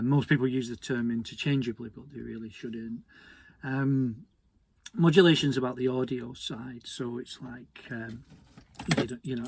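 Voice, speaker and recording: British man, gravelly creaky voice, mid pitch, conversational hobby radio explainer, hesitant delivery. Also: a little hiss or hum. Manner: conversational, hesitant, repeats words. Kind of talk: informal technical explainer.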